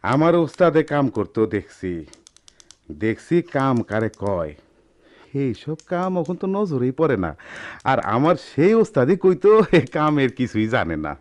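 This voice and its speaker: A man's voice: medium pitch, very animated, normal speed, close to an everyday voice. An actor playing a humble middle-aged goldsmith and father with a Dhaka-area Bangladeshi accent, earnest and avuncular in a radio drama. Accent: Bangladeshi, Dhaka-area dialect. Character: patient, humble ageing master goldsmith and gentle father.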